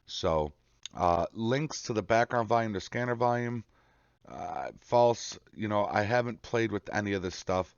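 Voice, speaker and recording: A man hosting a casual step-by-step software tutorial in a folksy, reassuring way, often checking in with the listener. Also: lowish voice, animated, unhurried, creaky, smooth. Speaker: tutorial host. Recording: muffled, roomy home recording.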